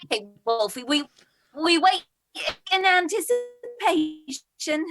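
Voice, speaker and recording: A woman's voice: extremely high pitch, very animated, takes it slowly, fairly bright tone, soft background music, exaggerated voice. An actress playing a cheerful, chatty village woman, a playful amateur singer in a radio comedy. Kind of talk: radio comedy drama acting.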